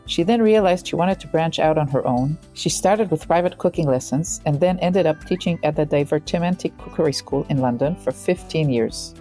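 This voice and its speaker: A woman hosting an interview, with a low, mellow voice. She introduces her guest warmly and asks direct questions. Faint music plays behind her. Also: moderate pace, hardly any pauses. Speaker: podcast host, interviewer.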